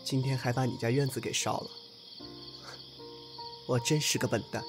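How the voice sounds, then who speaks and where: A man's voice: mid-range voice, steady tone, normal speed, over music, lightly acted. An actor in an audio drama playing a naive, proud young swordsman from the ancient past, earnest and courtly in his wording. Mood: wistful, self-blaming.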